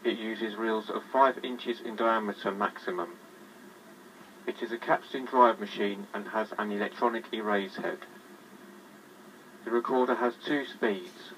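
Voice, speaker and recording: A man giving scripted, matter-of-fact narration in a measured way, as if presenting a product. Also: on the low side, steady tone, fairly bright tone. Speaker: presenter demonstrating a vintage tape recorder. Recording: distant, noisy vintage tape recorder sound.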